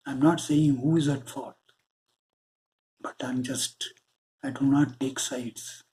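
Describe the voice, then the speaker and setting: Slow, earnest Indian man preaching. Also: mid-range voice, steady tone, dark tone. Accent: Indian English. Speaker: spiritual channel host. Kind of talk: spiritual monologue.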